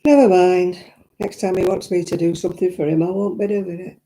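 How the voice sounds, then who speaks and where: An older woman with a croaky voice, chatting and rambling to viewers while she knits on a live stream. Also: fairly low pitch, fairly even intonation, a little fast, mellow. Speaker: crafting livestream host. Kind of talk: casual chat to live viewers. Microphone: own simple mic, live stream. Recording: crackly audio.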